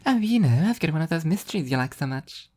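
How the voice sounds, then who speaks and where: Storybook angel woman, cheerful and scatterbrained, pitched far up. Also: very animated, fast talker, darkish tone. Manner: airy, eager, scatterbrained chatter. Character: bubbly, ditzy, ever-smiling female angel.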